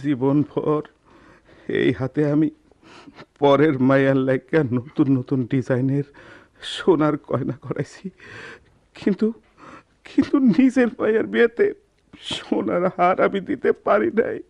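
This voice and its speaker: An actor playing a humble, ashamed goldsmith father in a radio drama, halting and heartfelt, with a rural Bangladeshi accent. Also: a little high, vocal fry, darkish tone, muffled recording. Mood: anguished, pleading. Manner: halting, repeats himself with feeling. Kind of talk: Bengali radio play acting.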